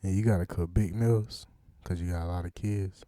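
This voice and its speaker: Low mellow guy hosting an interview, loose slangy jokes. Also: fairly even intonation, normal speed, no echo, studio quality. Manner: slangy, joking banter with laughs. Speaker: interviewer and host. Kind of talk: casual interview chat.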